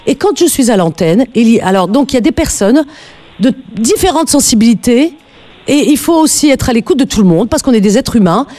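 A woman's voice: a little high, big pitch swings, fairly quick, dark tone. A woman hosting a live radio call-in, speaking in an earnest, emphatic way and repeating phrases for emphasis.

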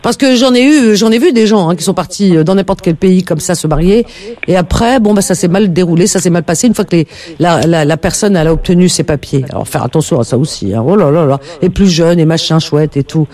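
A woman's voice: lowish voice, a little fast, dark tone. A woman hosting a call-in radio show, lecturing her caller in an emphatic, indignant way.

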